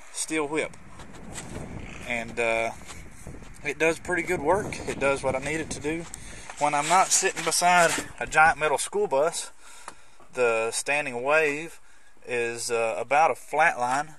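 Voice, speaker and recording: A man giving a casual, hands-on walkthrough as a video host, with somewhat halting, hesitant phrasing. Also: mid-range voice, fairly bright tone. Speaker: host of a how-to video on CB radio installation. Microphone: handheld camera's built-in microphone. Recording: clicky handheld camera audio, background noise.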